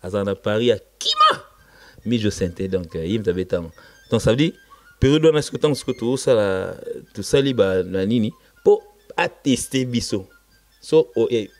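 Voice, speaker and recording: Congolese man, animated emphatic interview talk. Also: mid-range voice, normal speed. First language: Lingala. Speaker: musician being interviewed. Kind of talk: casual interview, recounting band history.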